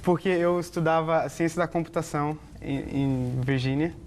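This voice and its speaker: A man's voice: on the high side, normal speed, a little mellow, a little hiss or hum. A young man with a Brazilian Portuguese accent, a theology student telling his story in a hesitant, earnest way. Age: young adult. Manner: hesitant, self-correcting, earnest. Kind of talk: casual personal testimony.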